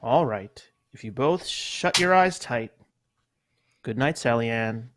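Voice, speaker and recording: A man playing a soft-spoken mother tucking her children into bed in a live old-time radio play reading. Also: mid-range voice, expressive, slow, background noise. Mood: soothing. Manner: soft, soothing bedtime delivery.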